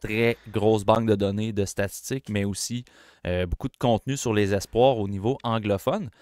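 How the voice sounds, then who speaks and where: A man with a Québécois French accent co-hosting a casual hockey podcast, chatty and animated, with plenty of fillers. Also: on the low side, normal speed, no echo, clean studio sound. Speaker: podcast co-host.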